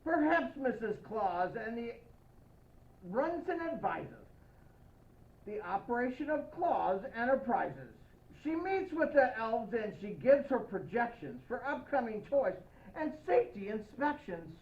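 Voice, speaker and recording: Female storybook reader in a Santa persona, high voice, playful and expressive, slightly dull lo-fi sound. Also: measured pace, a little hiss or hum. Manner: playful, expressive, addressing young listeners. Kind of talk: children's picture-book read-aloud with playful asides.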